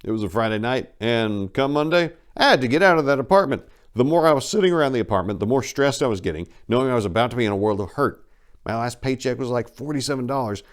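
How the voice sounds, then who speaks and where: A man reading a first-person memoir as a dramatic audiobook, in a wry, conversational storytelling manner. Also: medium pitch. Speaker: audiobook narrator.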